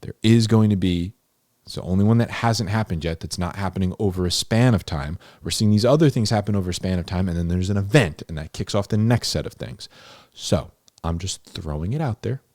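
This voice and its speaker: A man's voice: low voice, brisk, a little mellow, dry recording, studio quality. A man giving informal, unscripted Bible teaching in a conversational, emphatic way, repeating key phrases for stress. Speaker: host of a Bible-explainer series, teacher.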